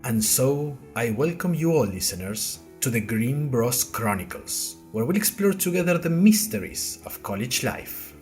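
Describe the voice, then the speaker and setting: A man's voice: mid-range voice, big pitch swings, measured pace, hardly any pauses, darkish tone, faint music behind. A man playing a bewildered, earnest young college newcomer, narrating an audio diary podcast in a wry, hesitant, conversational way straight to listeners. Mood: tired, hopeful. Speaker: narrator and podcast host character. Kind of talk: first-person audio fiction narration.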